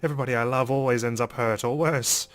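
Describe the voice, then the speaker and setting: A man playing and reading a visual novel aloud in a dramatic, expressive way as a let's player. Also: mid-range voice, fast. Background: game music.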